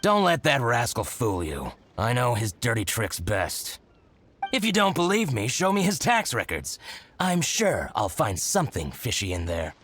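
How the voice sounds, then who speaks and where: Scheming game shopkeeper, super dramatic and gloaty, pitch all over the place. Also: male voice, wildly swooping pitch, unhurried, fairly bright tone, slight background noise. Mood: indignant. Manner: exaggerated, exclamatory, gloating. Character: scheming, theatrical male shopkeeper, gloating and spiteful toward rival. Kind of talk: video game voice acting.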